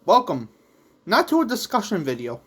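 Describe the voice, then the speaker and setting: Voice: medium pitch, huge pitch swings, moderate pace, fairly bright tone, husky. A vlog host who makes manga, talking casually and in a rambling way to viewers, with theatrical touches. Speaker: YouTube host and manga creator.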